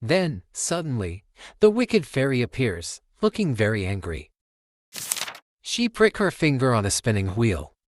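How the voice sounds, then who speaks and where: A man's voice: mid-range voice, huge pitch swings, unhurried, dry recording, clean studio sound. A man hosting a storytime channel, reading a children's picture book aloud as narrator.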